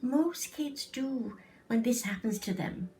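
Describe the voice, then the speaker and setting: Woman as a gentle mother, slow. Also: fairly high pitch, recorded from a distance, faint music behind. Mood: gentle, sad. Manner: soft, careful, soothing. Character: gentle, concerned mother consoling her child. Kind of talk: storybook reading.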